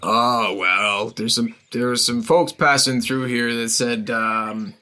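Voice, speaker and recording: Guy doing a tipsy old shack hermit for a tabletop game, rambly n grumbly, kinda sharp bright voice, birds chirping. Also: mid-range voice, fairly even intonation. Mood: rambling, evasive. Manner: rambling, halting, casual.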